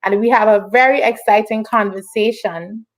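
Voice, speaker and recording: A woman presents an online interview show in a casual style. She sounds upbeat and encouraging, moving between reading introductions and chatting. Her pitch sits in a middle range for a woman. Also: steady tone, normal speed, poor audio.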